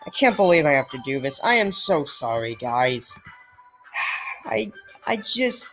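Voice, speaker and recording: A woman talking slowly over faint game music, her voice sitting a little low. She comments on her play with a tired, sarcastic edge and lets out long sighs. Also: very animated, a bit bright, low-quality recording. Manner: exasperated, sarcastic, sighing. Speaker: let's play gamer.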